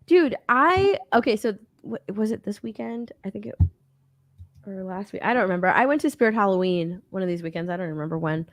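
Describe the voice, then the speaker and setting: A woman's voice: medium pitch, expressive, a little fast, no room sound. A woman from Texas co-hosting a casual stream chat, rambling, laughing often and trailing off mid-sentence. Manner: rambling, giggly, trails off and restarts. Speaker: co-host.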